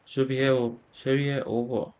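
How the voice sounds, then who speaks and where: A man's voice: medium pitch, flat delivery, moderate pace, darkish tone, rough recording. A man giving casual gameplay commentary in a loose, muttering way as he plays. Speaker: game streamer.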